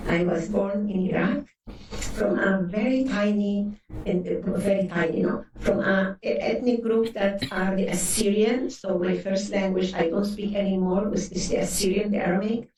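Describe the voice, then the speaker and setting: A woman's voice: medium pitch, fairly even intonation, moderate pace, a little mellow, a little hiss or hum. A woman in her sixties from Lebanon whose first language is Arabic, speaking earnestly as an interview guest and often restarting her words. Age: 60s. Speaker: guest psychotherapist. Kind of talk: interview conversation. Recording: roomy, distant mic.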